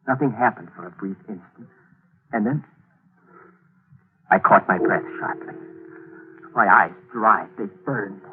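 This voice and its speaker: An unsettled man confessing in a 1940s radio thriller. His delivery is intense and highly animated, rising and falling sharply. The sound is narrow and tinny, like an old broadcast. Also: slightly rough. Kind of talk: 1940s radio acting, dramatic narration. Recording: scratchy, phone-thin 1940s radio broadcast.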